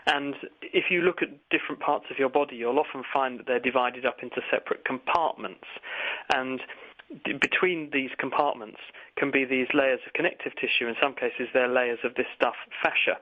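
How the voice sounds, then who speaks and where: A man's voice: mid-range voice, steady tone, fairly quick. A man explaining science to radio listeners as an expert over a phone line. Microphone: phone line into a radio show. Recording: narrow, tinny phone line.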